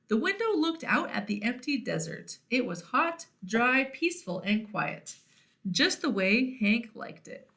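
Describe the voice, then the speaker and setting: A woman narrates a story for young children. Her voice sits a little high and moves up and down in an animated way. The delivery is expressive picture-book reading, addressed to boys and girls. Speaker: children's read-aloud host.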